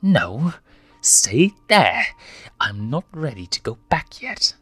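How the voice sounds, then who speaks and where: A man's voice: medium pitch, sing-song, slow, a bit bright, husky, no room sound, faint music behind, pitched above the performer's own voice, full character voice. A man voicing an irritable, lazy young lion with a bitter, sneering older-brother manner in a story reading. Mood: irritated. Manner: sneering, snappish.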